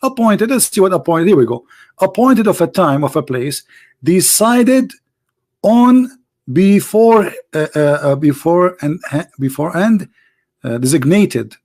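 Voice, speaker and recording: A man's voice: high voice, lively intonation, a little slow, a little mellow. A man who is not a native English speaker, hosting a heated live debate, insistent and talking over the other side. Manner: insistent, repeats points, cuts in. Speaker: debate host.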